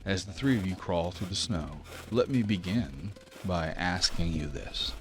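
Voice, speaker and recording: A man narrating a tabletop game as game master in a measured, theatrical storytelling manner. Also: deep voice, faint music behind. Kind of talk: dramatic scripted tabletop narration.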